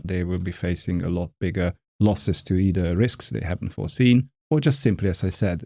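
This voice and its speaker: Gravelly-voiced man with a Hungarian accent. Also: on the low side, mellow, no room sound, studio quality. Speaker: architect, podcast guest. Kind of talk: casual conversation.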